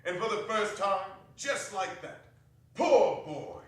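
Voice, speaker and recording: Man acting excitable old miser in echoey hall. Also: sits high, slow, exaggerated voice. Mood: ecstatic, nostalgic. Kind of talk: theatrical dramatic reading. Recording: very echoey hall, distant mic.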